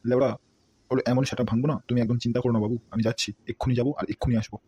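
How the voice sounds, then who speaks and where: Man playing a brash, rapid-talking cartoon henchman. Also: mid-range voice, level tone, mellow, slight background noise, natural voice. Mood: eager, threatening. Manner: brash, swearing, blustering. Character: loyal, thuggish, blundering male henchman. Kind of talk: amateur comedy cartoon voice-over.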